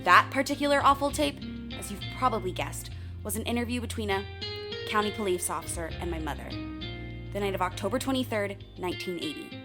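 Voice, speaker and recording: A woman's voice: medium pitch, lively intonation, brisk, music in the background, close to an everyday voice. A woman playing a blunt, sardonic woman in her thirties giving candid first-person narration in a radio play. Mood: bitter, angry. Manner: frank, sardonic, swears.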